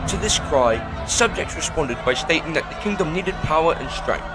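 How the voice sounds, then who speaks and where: A man's voice: on the high side, expressive, normal speed. Male fantasy narrator over music. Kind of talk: scripted storybook narration of a fantasy audio drama.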